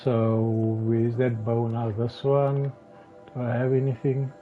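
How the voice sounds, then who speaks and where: A slightly hoarse man commentating his game live, talking haltingly with many restarts and fillers. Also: mid-range voice, flat delivery. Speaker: game streamer. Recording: tinny phone-like sound with faint music.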